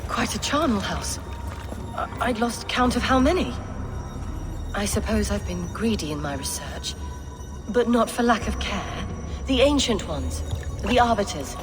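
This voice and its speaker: An actress playing a dying, unrepentant nun and healer in a video game, speaking in a measured, wistful, eloquent way. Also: female voice, medium pitch, lightly acted. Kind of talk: dramatic video game acting.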